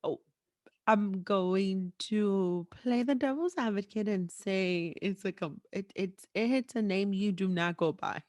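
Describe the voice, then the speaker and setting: A woman hosts a podcast in an easy conversational style. She is frank and teasing, with a playful streak, and her mid-pitched voice sits in a dry recording with very little room sound. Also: takes it slowly, darkish tone, no room sound. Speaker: podcast host. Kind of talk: casual chat.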